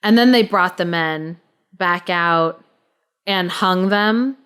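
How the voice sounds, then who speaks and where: A woman co-hosting a podcast, telling a story casually in an informal, halting, explanatory way. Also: lowish voice, a bit bright, smooth.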